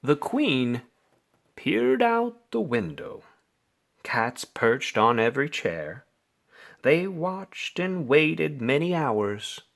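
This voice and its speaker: Very slow, animated man reading a story. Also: a little high, vocal fry. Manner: deliberate, theatrical phrasing with drawn-out words. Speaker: storybook reader. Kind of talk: expressive bedtime story narration for children.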